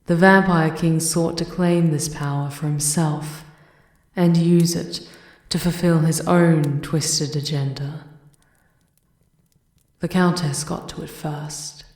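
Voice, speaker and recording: A woman's voice: low voice, darkish tone. A woman playing a bitter, scornful castle advisor and sorceress in a fantasy ASMR roleplay, speaking formally in an echoing space. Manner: ominous, formal, haughty. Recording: echoey, reverberant room sound.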